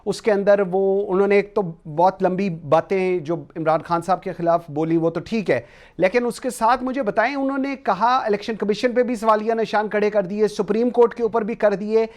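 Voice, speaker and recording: A man's voice: high voice, steady tone, normal speed, a little mellow. A man with a Pakistani accent hosting a political talk show, putting long, probing questions and cutting in politely. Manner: wordy, probing, interjects politely.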